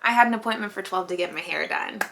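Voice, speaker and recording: A woman's voice: medium pitch, quick pace, sharp tone, slight background noise. A young woman rambling through her day in a casual family vlog. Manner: chatty, rambling. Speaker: family vlogger.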